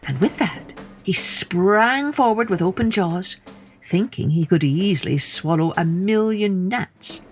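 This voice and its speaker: A woman telling a children's story in a theatrical way, with hushed moments and questions put to the listener. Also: mid-range voice, animated, a little slow, faint music behind. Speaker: storyteller, podcast host. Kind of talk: dramatic children's storytelling narration.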